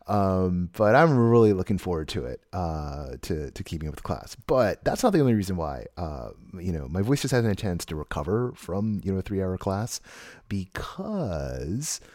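A man hosting a podcast, hoarse and tired, talking in a rambling way with many restarts and asides. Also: low-pitched, very animated, moderate pace, a little mellow, no room sound, studio quality. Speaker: podcast host. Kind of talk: casual chat and solo monologue.

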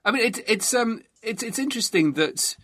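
A man's voice: high voice, lively intonation, moderate pace, pushed and bright, dry recording. A man hosting a conversational history interview, thoughtfully building up to his questions. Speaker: interviewer, host.

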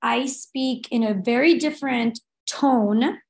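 Interview guest: young woman, softly spoken, reflective, full of fillers, slightly high voice. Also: takes it slowly, gravelly. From Los Angeles, United States. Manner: reflective, many "like" and "you know" fillers; soft-spoken in English. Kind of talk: casual interview conversation.

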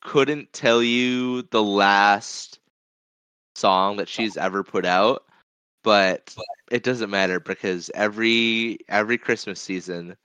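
A man's voice: lowish voice, takes it slowly, bright, forceful tone, smooth, dry recording, low-quality recording. Man chatting casually, close dry sound. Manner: rambling, repeats phrases. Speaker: podcast co-host. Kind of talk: casual movie podcast chat.

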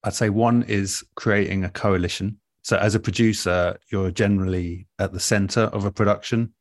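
A man speaking as an interview guest in a relaxed conversation, thoughtful and articulate, pausing as he measures out his answers. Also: fairly low pitch, no room sound, studio quality. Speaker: guest, radio and podcast producer.

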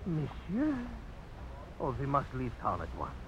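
An actor playing a timid, apologetic bird painter in a radio drama, stammering anxiously with quaint Quaker phrasing. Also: male voice, medium pitch, huge pitch swings, a little slow. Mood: alarmed. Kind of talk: 1950s radio drama acting. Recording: hissy old radio broadcast.

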